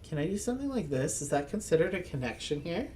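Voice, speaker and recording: A man's voice: on the high side, very animated, fast, slight background noise. A man hosting a board game channel, thinking aloud through a solo game with chuckles and self-mocking asides. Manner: speaks as a loud, projecting voice. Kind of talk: casual solo playthrough commentary.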